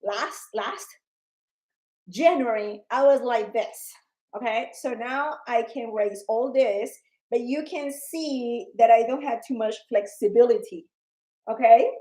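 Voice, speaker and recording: A woman in her forties talking to camera in a personal vlog, earnest and emotional, often repeating words and growing animated when frustrated. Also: on the high side, slow pace, rough recording. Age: 40s. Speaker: vlogger.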